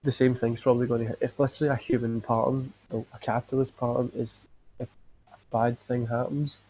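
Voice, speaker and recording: Man, podcast host, casual chat, mellow warm tone, rambling with lots of fillers. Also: mid-range voice, flat delivery, fairly quick, dull audio, a little hiss or hum.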